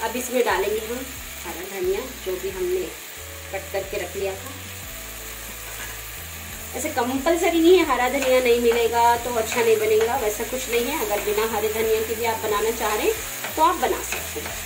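A woman's voice: a little high, normal speed. Woman giving home cooking steps. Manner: gentle, instructive, conversational. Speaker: home cook. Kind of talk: cooking demonstration voice-over. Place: home kitchen. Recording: roomy kitchen, frying noise. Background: sizzling frying pan.

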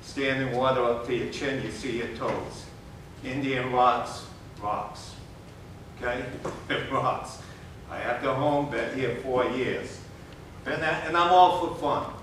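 An older man with a New England accent rambles bluntly through a complaint, stopping often for fillers and waving his arms. He speaks from a distance in a very echoey council chamber over a faint low rumble. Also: slow pace, fairly bright tone. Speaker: resident giving public comment. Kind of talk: unscripted public complaint. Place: city commission chamber. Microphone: podium gooseneck mic.

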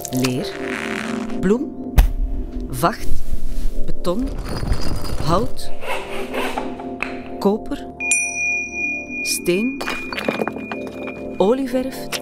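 A woman narrating an audio play for children and families, playfully speaking straight to the listener with deliberate pauses. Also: medium pitch, big pitch swings, loud music behind the voice.

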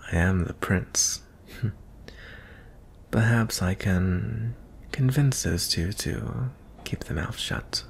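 A man playing a gentle, merciful young prince in an intimate ASMR fantasy roleplay, soft-spoken and hesitant, often slipping into whispers. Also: fairly low pitch, gravelly, a little hiss or hum, heavily acted. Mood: gently persuasive. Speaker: audio roleplay performer.

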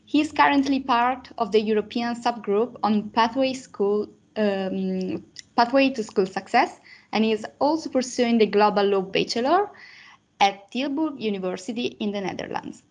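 A woman's voice: a little high, moderate pace. A woman hosting a webinar over a video call, giving a formal, polite, prepared welcome and introduction. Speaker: webinar host. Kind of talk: scripted introduction.

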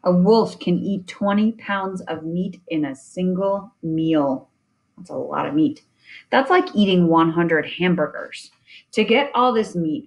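A woman reading a children's nonfiction picture book aloud in a deliberate way, with chatty asides. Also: lowish voice, poor audio. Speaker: reader of children's science book.

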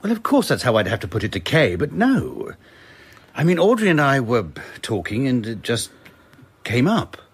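An actor playing a defensive, indignant husband under police questioning in a radio play, protesting firmly. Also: male voice, mid-range voice, big pitch swings, moderate pace, slightly rough. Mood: indignant, flustered.

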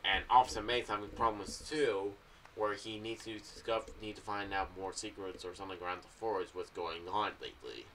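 A young American man giving casual, meandering commentary to camera in a halting, loose way. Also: fairly low pitch, fairly bright tone, recorded from a distance, a little hiss or hum. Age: young adult. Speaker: video creator giving theories on an audio drama series. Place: small room indoors. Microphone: boom-arm condenser with pop filter, off to the side.

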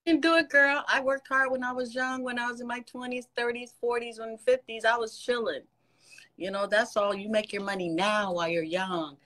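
A woman in her sixties talks into a phone held close, her voice bright and clear. She gushes and encourages in an easy, chatty way. The call comes from an office. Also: on the high side, fairly even intonation, a little fast. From United States. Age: 60s. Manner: gushing, warm praise with frequent laughs. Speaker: fan on a video call. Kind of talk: casual chat. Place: office with ceiling lights. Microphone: phone camera held close.

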